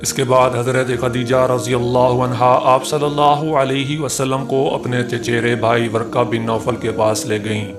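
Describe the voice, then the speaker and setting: A man reading a scripted Islamic story in a measured, formal, reverent storytelling manner. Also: medium pitch. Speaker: narrator of religious story channel. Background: music behind the voice.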